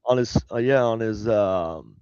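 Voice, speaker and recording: A man's voice: lowish voice, a little mellow, clean tone, no echo, highs cut off. Nasal man with a head cold. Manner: rambling, halting, many fillers. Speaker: podcast co-host. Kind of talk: casual chat. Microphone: home desk setup on a video call.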